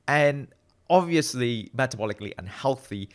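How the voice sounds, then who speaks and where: A man in his thirties from Malaysia, with a Malaysian-British accent that carries Midlands traces, speaking as a guest researcher in a reflective, animated way. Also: medium pitch, moderate pace. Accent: Malaysian-British English with Midlands traces. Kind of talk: conversational interview.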